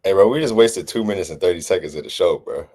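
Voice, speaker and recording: A man's voice: low-pitched, quick pace, a little mellow, breathy edge. Laid-back man in his twenties. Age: 20s. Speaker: podcast co-host. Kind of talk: casual sports chat.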